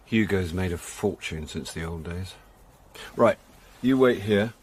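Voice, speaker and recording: An actor playing a wry middle-aged amateur sleuth and put-upon son, in first-person radio drama with an understated manner. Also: male voice, deep voice, a little slow, heavy background noise. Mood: understated, watchful. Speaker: narrator and lead character.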